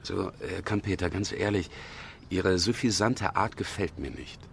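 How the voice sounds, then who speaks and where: A weary male detective with a low voice, dry and blunt. He asks his questions matter-of-factly in radio crime drama style, with faint noise behind him. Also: animated, a little slow, vocal fry, a bit bright, full character voice. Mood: blunt, irritated. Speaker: police detective. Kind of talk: naturalistic radio drama dialogue.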